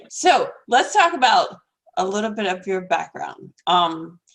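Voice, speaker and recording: Woman hosting a podcast, medium pitch, upbeat, says like a lot. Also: big pitch swings, takes it slowly, fairly bright tone. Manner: enthusiastic, laughs mid-sentence, uses like often. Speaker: podcast host. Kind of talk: casual interview chat.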